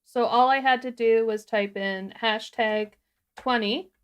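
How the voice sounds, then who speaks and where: A woman's voice: a little high, fairly even intonation, a little slow, clean tone. Woman casually walking through a tutorial. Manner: informal step-by-step explaining. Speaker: livestream co-host demonstrating a tutorial.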